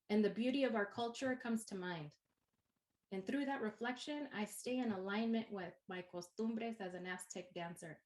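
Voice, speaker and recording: A woman's voice: mid-range voice, poor audio. A Chicana woman from East San Jose, a university instructor whose first language is Spanish, giving a poetic spoken-word welcome address in English laced with Spanish. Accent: Chicana English with Spanish code-switching. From East San Jose, California. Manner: poetic, rhythmic, unhurried phrasing.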